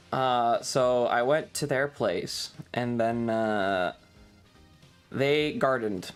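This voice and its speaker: Male streamer, slightly tired, unhurried rambling chat with swearing, music underneath. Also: mid-range voice, smooth. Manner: sweary, self-deprecating. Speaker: livestream host.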